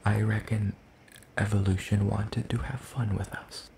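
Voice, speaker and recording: A man voicing a gentle, curious demon in a soft-spoken ASMR roleplay, speaking formally and hesitantly. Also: fairly low pitch, level tone, creaky, slight background noise. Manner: hesitant, formal, slow and deliberate. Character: gentle, curious, one-eyed soul-leeching demon creature.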